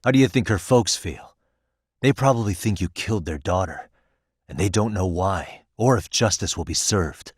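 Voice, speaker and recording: A man playing an earnest, tough, principled private detective in a video game, speaking in a measured, probing way. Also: low voice, no echo, studio quality. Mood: heated, accusing. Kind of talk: dramatic video game voice acting.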